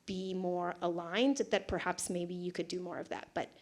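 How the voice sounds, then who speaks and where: A woman's voice: on the low side, steady tone, normal speed, darkish tone. A woman speaking on a conference panel, earnest and hedging, often restarting her sentences as she thinks aloud. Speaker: foundation panelist.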